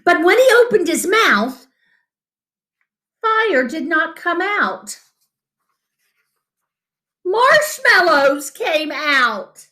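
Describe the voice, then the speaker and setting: A middle-aged woman reading a picture book to children expressively, with dramatic, deliberate pauses. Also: extremely high pitch, sing-song, pushed and bright. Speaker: children's storyteller. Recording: roomy home echo.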